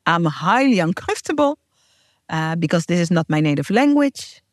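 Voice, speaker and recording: A woman's voice: on the low side, big pitch swings, normal speed, dry recording, clean studio sound. Earnest woman. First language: Dutch. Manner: earnest, heartfelt, emphatic. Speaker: podcast host and guest. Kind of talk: scripted podcast intro and casual chat.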